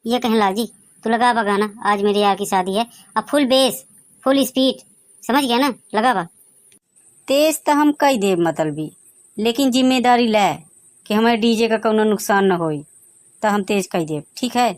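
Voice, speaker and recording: Man doing high, brash young Bagheli villager, dry sound. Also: moderate pace, no echo, close to an everyday voice. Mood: cajoling, argumentative. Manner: colloquial, pushy, argumentative. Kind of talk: dialect comedy cartoon voice acting.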